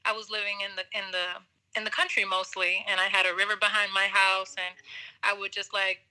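Bright-voiced woman from Puerto Rico. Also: medium pitch, brisk, low-quality recording. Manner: relaxed, laughs often, talks with fillers. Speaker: musician guest. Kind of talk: casual interview conversation.

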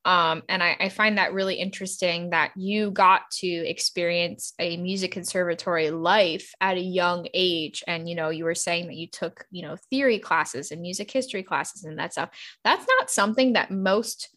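A woman's voice: on the low side, fairly even intonation, normal speed, sharp tone. Chatty woman interviewer. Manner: upbeat, chatty, uses "like" often. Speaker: interviewer, music educator. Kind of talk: casual interview questions.

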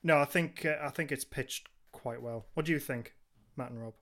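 A man co-hosting a podcast in casual review chat, rambling haltingly and chuckling as he goes. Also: medium pitch, a bit bright. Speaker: podcast co-host.

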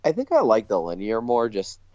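Echoey, muffled recording of a low-voiced man. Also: huge pitch swings, brisk, dark tone. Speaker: podcast co-host. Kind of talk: casual chat. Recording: dull, lo-fi, roomy echo.

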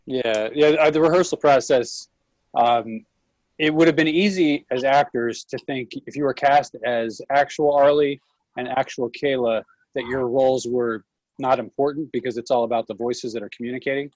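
A man who works as a theatre director, explaining in a casual panel discussion with hesitant pauses mid-sentence. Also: mid-range voice, steady tone, darkish tone, no echo, low-quality recording.

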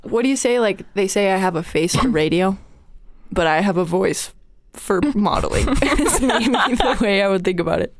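A woman in her twenties co-hosting a podcast in casual chat, self-mocking and quick to laugh. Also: medium pitch, lively intonation, dry recording. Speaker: podcast co-host.

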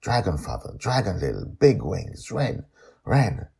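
Man narrator as earnest father, audio story, intense repetitive delivery, deep husky voice. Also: very animated, unhurried, dark tone, full character voice. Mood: intense, concentrating. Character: earnest father straining to summon a dragon.

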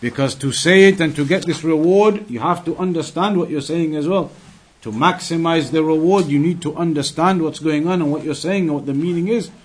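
A man's voice: fairly high pitch, a little fast, slightly rough. A man giving a religious lesson as a teacher, moving between reciting quotations and explaining them.

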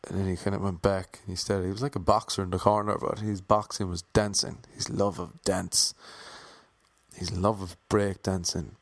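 Wry young Irishman, low dry voice. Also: flat delivery, normal speed, no echo. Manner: wry, self-mocking, playfully imitating others. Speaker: actor telling about auditions. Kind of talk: casual storytelling monologue.

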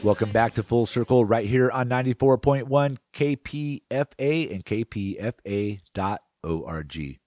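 A man's voice: medium pitch, moderate pace, few pauses, a little mellow, dry recording, faint music behind. A man presenting a radio magazine show in scripted narration, close on the microphone.

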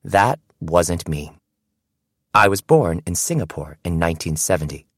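A man reading a first-person audiobook in an expressive, storytelling way. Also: low-pitched, unhurried, dry recording, clean studio sound. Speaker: audiobook narrator.

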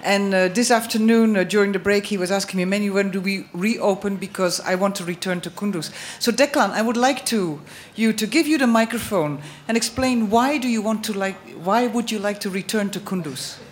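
High-voiced man moderating a panel. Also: a little fast, hardly any pauses, slight background noise.

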